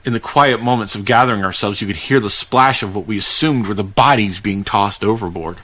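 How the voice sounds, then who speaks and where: A man narrating a first-person creepypasta in a conversational, halting way, with dramatic pauses as he goes. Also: on the low side, a bit bright. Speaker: podcast host reading a horror story.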